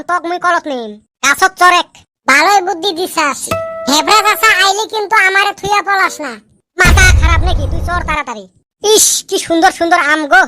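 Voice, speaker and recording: A performer voicing a mischievous, bickering village boy in a comedy cartoon, speaking in the Rajbongshi dialect of northern Bengal. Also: very high-pitched. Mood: bossy, scheming. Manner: colloquial, bantering, complaining.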